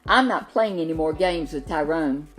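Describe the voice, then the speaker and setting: A woman's voice: low-pitched, normal speed, lightly acted. A woman voicing an indignant young male dinosaur with an emphatic manner in a storybook. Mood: fed up.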